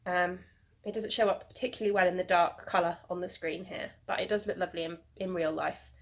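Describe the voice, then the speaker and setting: A woman's voice: lowish voice, fairly even intonation, brisk. A woman, hosting a knitting video podcast from home in a rambling, chatty way with many ums, a little sniffly from hay fever. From England. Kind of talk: casual video podcast chat.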